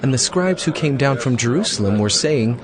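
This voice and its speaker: A man narrating scripture as a solemn story, with clear phrasing, in a dramatized audio reading. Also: mid-range voice, expressive, normal speed, crowd noise. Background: music.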